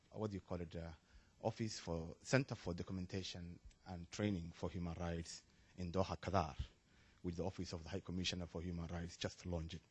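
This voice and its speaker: A man from Somalia making a spontaneous comment from the floor of a panel, halting and restarting, his emphasis rising as he goes, in a conference hall. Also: sits low, a little mellow, slightly rough, highs cut off. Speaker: audience member asking a question.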